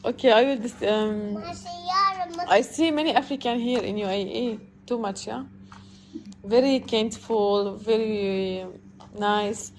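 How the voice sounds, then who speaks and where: Woman, 30s, from Syria, hesitant halting English, gravelly, faint background noise. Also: mid-range voice, darkish tone. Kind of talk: casual chat.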